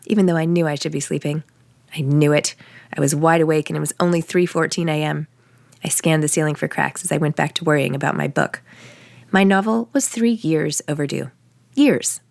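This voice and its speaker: An American woman with a low voice speaks as an author sharing her own work. The recording is very dry, and her delivery is that of casual talk and personal narration. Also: brisk, gravelly, dry recording. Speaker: author and podcast host. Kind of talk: casual announcements and first-person novel reading.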